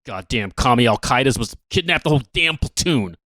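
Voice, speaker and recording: A man acts out a gung-ho Marine for a radio comedy. His medium-pitched voice is grave and self-important, full of zeal, recorded without room echo. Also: expressive, a little fast, sharp tone, studio quality. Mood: zealous. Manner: grave, bombastic, solemn. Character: zealous, pompous gung-ho male Marine named Todd. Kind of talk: comedic radio-play reading.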